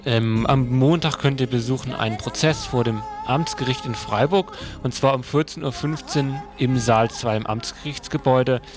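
A male radio presenter reads out listings and previews for listeners. He addresses them informally. Music plays clearly behind his voice throughout. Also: mid-range voice, normal speed, few pauses.

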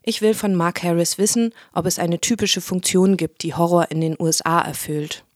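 A woman's voice: lowish voice, steady tone, normal speed, no echo, studio quality. A woman narrating a scripted radio feature as interviewer and presenter. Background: music.

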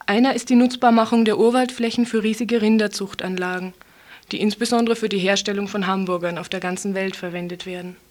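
A woman's voice: mid-range voice, steady tone, brisk. Woman reading a scripted radio report. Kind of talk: scripted news report narration.

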